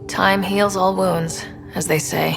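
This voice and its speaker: An actress playing a calm, inquisitive young space expedition leader in a video game, with a creaky voice. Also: female voice, fairly low pitch, takes it slowly. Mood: gentle. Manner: calm, attentive, brief questions. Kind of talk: video game character dialogue. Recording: hall reverb, faint music behind.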